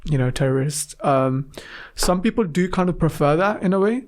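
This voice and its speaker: A young man from Afghanistan, a guest in an interview, talking casually and haltingly with many fillers. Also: on the high side, animated.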